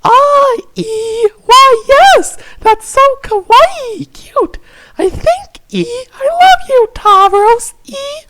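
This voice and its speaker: A woman voicing a cutesy, excitable, squealing troll girl in an amateur fan fiction reading, on a noisy recording with clicks. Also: drawn-out words, exaggerated voice. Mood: infatuated. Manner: squealy, gushing, punctuated with little squeaks.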